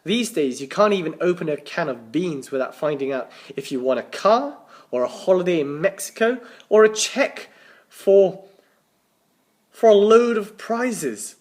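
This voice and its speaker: A man reading a children's story aloud as a teacher, with animated, dramatic emphasis and the odd stumble over words. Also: sits high, unhurried. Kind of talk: expressive children's storybook narration.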